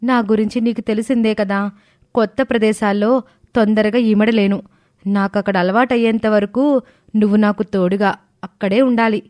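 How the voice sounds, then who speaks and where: A woman's voice: mid-range voice, fairly even intonation, normal speed, a little mellow, no echo, clean studio sound, close to an everyday voice. A woman voicing a timid young bride in a cartoon family drama, hesitant and pleading. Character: timid, slow-witted young bride seeking her sister's help.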